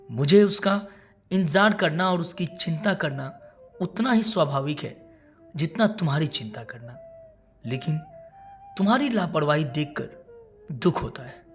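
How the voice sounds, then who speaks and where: A woman voicing a worried, self-sacrificing elderly mother in a moral story, speaking gently and earnestly. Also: deep voice.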